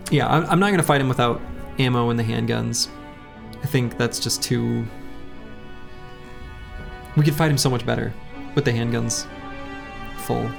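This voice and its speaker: A man live-streaming a game, his voice slightly hoarse with a gravelly, creaky edge. He rambles in a loose, chatty way and greets viewers by name. Faint game music plays underneath. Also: medium pitch, normal speed, pauses often. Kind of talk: casual live-stream chat while playing.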